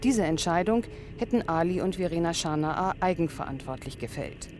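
Woman reporter for scripted news documentary narration, very low register, music underneath. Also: animated, moderate pace. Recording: music playing behind the voice.

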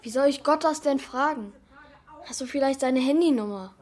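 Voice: high-pitched, moderate pace, darkish tone, natural voice. A performer playing an anxious young boy who acts in and narrates a children's radio play, earnest and questioning. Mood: worried, doubtful.

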